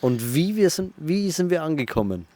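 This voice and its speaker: A man's voice: on the high side, sing-song, moderate pace, dark tone, no room sound. A young man with a Bavarian accent, a podcast co-host joking and teasing in casual banter.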